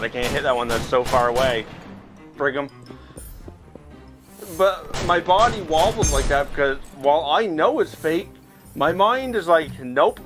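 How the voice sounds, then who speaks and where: A man giving casual, excitable commentary as he plays a virtual reality game, reacting aloud as he goes. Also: a little high. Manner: excitable, reacting aloud while physically playing. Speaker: video game streamer.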